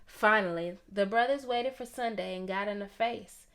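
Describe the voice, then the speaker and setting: A woman reading a children's story aloud in an expressive, theatrical way. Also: mid-range voice, moderate pace. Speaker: storybook reader.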